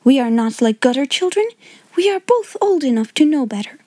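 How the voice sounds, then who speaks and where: A woman voicing a kind, self-controlled young schoolgirl in a storybook, steady and earnest. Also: sits high, fairly quick, no echo, voice pushed up. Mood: restrained anger. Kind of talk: storybook character voice in audiobook.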